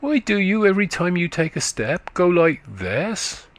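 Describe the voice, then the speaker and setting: A man's voice: sits high, very animated, a little slow, no echo, pitched above the performer's own voice, heavily acted. A man voicing a small chattering country boy who speaks in rustic dialect, piping and childlike. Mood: curious.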